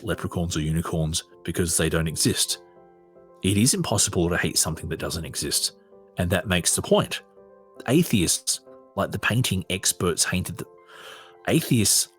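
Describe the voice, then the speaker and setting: A man with an Australian accent and a husky voice, hosting a podcast, reading aloud and commenting off the cuff in a conversational way. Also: low-pitched, fairly bright tone. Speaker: podcast host.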